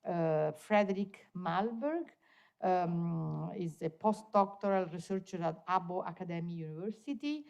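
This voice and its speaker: A woman's voice: lowish voice, animated, a little slow, creaky, a little mellow. A woman moderating a conference, introducing speakers with frequent fillers and hesitations.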